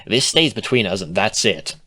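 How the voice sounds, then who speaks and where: A man's voice: lowish voice, animated, moderate pace, sharp tone. A man voicing a defensive, laid-back female cartoon unicorn, grumbling with sardonic reluctance in a storybook reading. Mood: reluctant.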